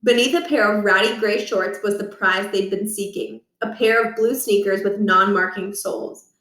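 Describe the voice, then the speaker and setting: A woman narrating a children's book aloud, with expressive storytelling and deliberate emphasis. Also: mid-range voice. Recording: roomy echo, distant from the mic.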